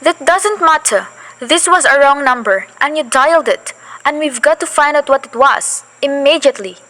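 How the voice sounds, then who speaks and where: A nervous, demanding wife, alone in her house at night, played by a young woman, with a high, fretful voice that keeps pressing and insisting. She frets and scolds in a student radio play. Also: lively intonation, moderate pace, pushed and bright, low-quality recording. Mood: frantic.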